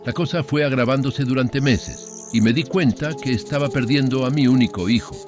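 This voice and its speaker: A man's voice: medium pitch, natural voice. An actor playing a bitter, brooding older father, telling a horror story in a solemn, ominous, literary manner. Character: brooding, bitter older father recounting his son's marriage. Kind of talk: dramatic horror audiobook narration.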